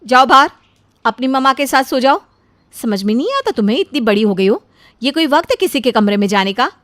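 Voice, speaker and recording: Sharp-tongued jealous young housewife, acted by a woman. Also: high voice, sing-song, a little fast, dry recording. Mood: angry scolding. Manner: scolding, sarcastic. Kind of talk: Hindi animated moral story acting.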